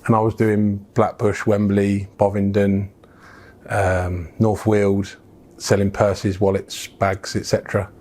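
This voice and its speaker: A man's voice: on the low side, little pitch movement, takes it slowly, darkish tone. A middle-aged English businessman telling his life story in a frank, casual interview, with plenty of fillers and restarts. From England. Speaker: business founder, guest.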